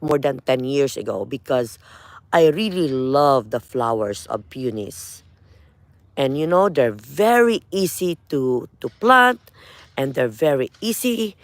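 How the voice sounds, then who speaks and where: A woman's voice: low voice, no room sound. A middle-aged woman with a Filipino accent gives a casual walk-through of her garden outdoors, chatting loosely and repeating herself with lots of "you know" and "uh". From Philippines. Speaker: gardening vlogger.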